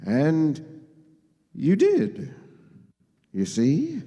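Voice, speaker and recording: A man playing a kindly, innocent old guardian angel in a live radio play, fussy and gentle, with a stammer. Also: a little high, huge pitch swings, very slow, exaggerated voice. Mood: gentle, matter-of-fact. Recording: hall reverb of live stage.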